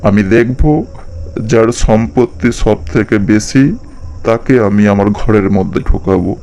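A man's voice: lowish voice, steady tone, unhurried, creaky, mellow, a little hiss or hum. A man playing a stern, fed-up farmer father with a commanding, unyielding manner in a children's cartoon.

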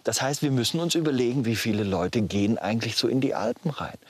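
A man's voice: mid-range voice, animated, fast talker, husky. A middle-aged man outdoors in the mountains, explaining earnestly with rhetorical questions in an interview. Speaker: expert interviewee.

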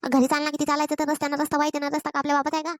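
A woman's voice: sits high, completely flat delivery, rapid-fire, no room sound, studio quality, natural voice. A woman voicing an exasperated, scolding village mother in a cartoon, with a rural Vidarbha Maharashtrian accent and a repetitive, complaining manner. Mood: fretting, nagging. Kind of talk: Marathi cartoon comedy voice acting.

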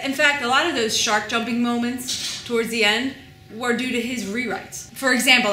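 An actress playing a blunt, exasperated TV showrunner who complains in a mockumentary interview. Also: female voice, fairly high pitch, sharp tone, roomy sound, slight background noise. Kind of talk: mockumentary interview comedy acting.

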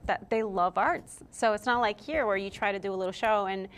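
A woman's voice: mid-range voice, brisk. A woman in her thirties who grew up in Puerto Rico, a guest singer telling stories in a casual interview in a TV studio. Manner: chatty, animated storytelling with laughs. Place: TV studio set, indoors. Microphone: lapel mic.

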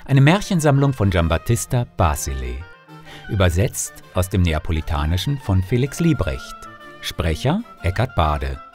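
A man narrating a scripted fairy tale as an audiobook storyteller, theatrical and clearly enunciated. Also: low-pitched, sing-song, moderate pace, a little mellow, faint music behind.

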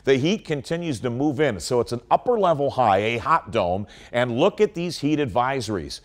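Male TV forecaster, middle-aged, American, medium pitch, emphatic explanatory delivery, studio sound. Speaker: TV weather forecaster. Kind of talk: live weather forecast presentation. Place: TV studio in front of weather screen.

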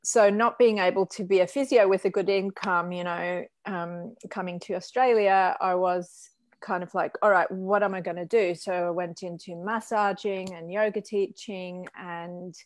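A woman in her forties from Switzerland, a physiotherapist guest telling her story in a chatty, frank, animated way over a video call from her living room. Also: on the low side, moderate pace, dry recording. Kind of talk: casual personal storytelling.

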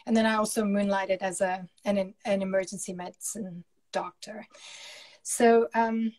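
A woman in her forties from South Africa with a South African accent, talking about her career in a relaxed, reflective way over a webcam video call from home. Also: medium pitch, measured pace. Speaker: journal editor-in-chief. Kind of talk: casual interview chat. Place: home room with curtain. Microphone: laptop webcam on video call.

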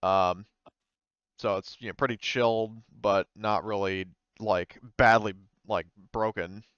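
A man casually running a tabletop sci-fi game as game master, thinking aloud in a halting, offhand way. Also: fairly low pitch, fairly even intonation, fairly bright tone, clean tone, no room sound. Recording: muffled voice-chat audio.

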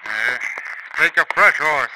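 An actor playing a brooding, menacing older outlaw father in a 1950s radio drama. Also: slow pace. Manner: low, brooding, threatening. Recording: noisy old radio broadcast.

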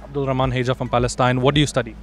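A man's voice: mid-range voice, flat delivery, soft background music. A young man from Kenya hosting upbeat interviews with students outdoors on a university campus, speaking into a handheld mic. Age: young adult. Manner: upbeat, quick, friendly questioning.

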